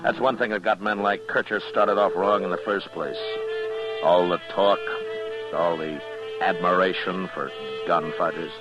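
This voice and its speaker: A stern American frontier marshal, speaks low, grave and measured in a radio western. He sounds weary and plain-spoken. The old recording is dull, with prominent music behind him. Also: steady tone. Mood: grim, weary. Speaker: actor and narrator in a radio western.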